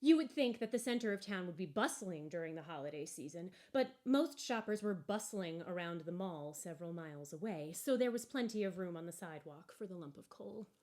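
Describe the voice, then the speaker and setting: A woman narrating a story aloud from a book in an expressive, deliberate and wry way. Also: fairly low pitch. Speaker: storybook reader.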